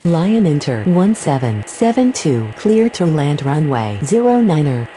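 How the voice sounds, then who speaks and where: A woman's voice: low-pitched, very animated, a little slow, warm tone. A woman playing an airline pilot or controller in a game, speaking scripted air traffic phraseology. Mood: procedural.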